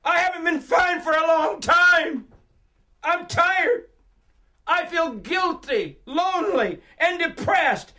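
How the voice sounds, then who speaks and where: A man playing a weary, desperate seeker who pours out his confessions in loud, emotional outbursts. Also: high-pitched, moderate pace. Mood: exasperated shouting.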